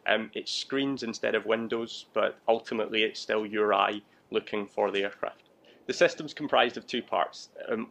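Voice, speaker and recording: A man in his thirties talks about his job as in an interview. His voice is on the low side with a fairly even melody and noticeable vocal fry. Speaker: air traffic control watch manager. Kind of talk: interview, explaining his work.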